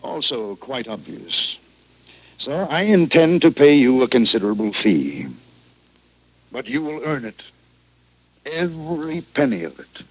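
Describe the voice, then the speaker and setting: A man's voice: mid-range voice, sing-song, a little slow, long pauses, a crowd in the background. A proud, ailing old family patriarch in a vintage radio drama. He is stern and bitter, choosing formal words and stressing them firmly. The old recording is dull, with little top end. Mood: cold, dismissive. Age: older. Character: proud, embittered, ailing old businessman and father. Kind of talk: 1950s radio drama acting.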